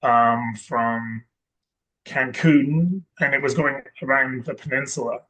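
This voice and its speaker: A man from Scotland talks through his story as an interview guest. He wanders through his points, restarting sentences and filling gaps with ums. His voice sits at an ordinary middle pitch. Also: takes it slowly, bright, forceful tone, poor audio. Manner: rambling, self-correcting with many ums and restarts. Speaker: guest investor. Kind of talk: casual interview chat.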